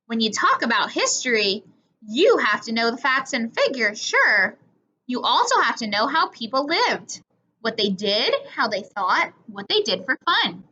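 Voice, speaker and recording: A woman's voice: on the high side, sing-song, unhurried, sharp tone, slight background noise, pitched below the performer's own voice, heavily acted. A woman voicing an outspoken, folksy old grandmother from a storybook, plainspoken and rambling as she reminisces. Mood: firm, opinionated. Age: older. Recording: dull lo-fi recording.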